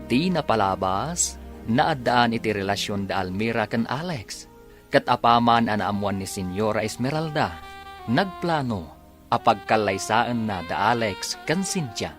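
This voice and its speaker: A man's voice: lowish voice, expressive, a little slow. A man with a Filipino accent narrating a radio drama as its announcer, in a dramatic scripted style. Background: music.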